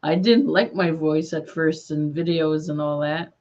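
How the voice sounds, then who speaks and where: A woman hosting a live paranormal investigation stream, talking in a calm, soothing way. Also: low-pitched. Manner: slow, calm, soothing delivery. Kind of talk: live-stream ghost hunt chat. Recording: dull lo-fi stream audio.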